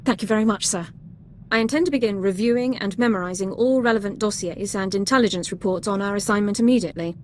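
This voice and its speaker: A woman's voice: mid-range voice, fast talker, darkish tone, a little hiss or hum, natural voice. A woman playing an eager, rule-bound young female sergeant who speaks formally and precisely in a video game. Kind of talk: video game cutscene acting.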